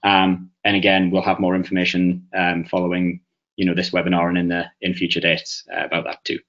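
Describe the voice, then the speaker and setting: A man from England with a Northeast English accent presenting a webinar, pausing often with fillers and restarts. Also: deep voice, monotone, fairly bright tone. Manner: hesitant, many fillers and restarts. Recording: muffled lo-fi audio.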